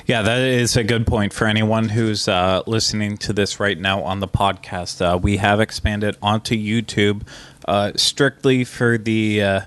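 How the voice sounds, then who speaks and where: A man's voice: fairly low pitch, steady tone, measured pace, fairly bright tone, clear, resonant tone. A man co-hosting a podcast, talking frankly and conversationally with many filler uhs. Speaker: podcast co-host. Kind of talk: casual podcast chat.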